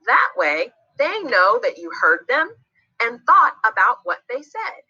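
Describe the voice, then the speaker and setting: A woman's voice: on the high side, sing-song, slow pace, bright, forceful tone, breathy edge, muffled recording, faint music behind, pitched above the performer's own voice, exaggerated voice. A woman voicing a friendly, helpful young girl in a children's storybook, patient and encouraging. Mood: kind, encouraging.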